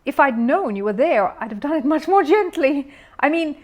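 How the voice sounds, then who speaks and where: A woman's voice: sits high, lively intonation, normal speed, a little mellow, pitched above the performer's own voice. A woman voicing a polite, earnest young male bear in a children's storybook, exclaiming and apologetic. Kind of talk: children's storybook character reading.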